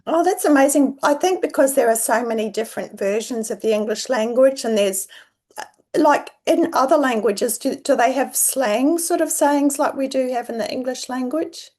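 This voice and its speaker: Female interviewer with a high voice, casual, curious and supportive. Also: normal speed, a little mellow. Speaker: podcast host.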